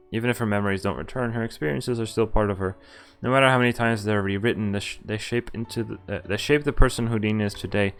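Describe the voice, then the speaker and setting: Low-voiced man narrating a visual novel over music. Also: smooth. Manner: reads story text aloud with casual commentary. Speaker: streamer reading a visual novel aloud. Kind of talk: game playthrough narration, first-person reading with casual asides. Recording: game music behind the voice. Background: game music.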